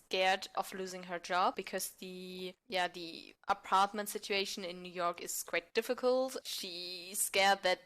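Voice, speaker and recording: A woman's voice: lowish voice, steady tone, a little slow, few pauses, a bit bright. Casual woman guest, thinking aloud. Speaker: podcast guest, amateur audio play actor. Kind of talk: casual interview answers.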